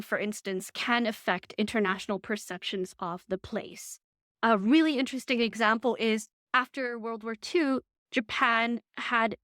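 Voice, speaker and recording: A woman's voice: fairly high pitch, no room sound. Chatty young woman, dry close sound. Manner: chatty, animated, quick asides. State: recovering from illness. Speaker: vlogger and design lecturer. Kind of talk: chatty casual vlog update. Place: her living room, dim.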